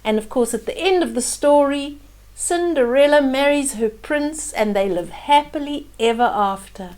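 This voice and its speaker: An older woman reading a children's bedtime story aloud, adding gentle, simple asides about the pictures for young listeners. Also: high voice, moderate pace.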